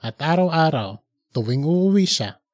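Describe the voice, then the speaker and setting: A performer voicing a gentle, devoted man of sixty, earnest and wistful as he speaks as a son. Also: medium pitch, lively intonation, unhurried, no echo, clean studio sound. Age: 60s.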